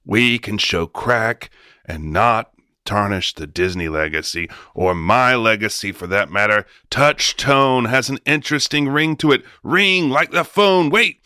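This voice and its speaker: A man's voice: mid-range voice, measured pace, pushed and bright, smooth, no echo. A man acting as a scatterbrained, self-important male studio executive in a comic radio drama, blustering and dismissive. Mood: musing, dismissive.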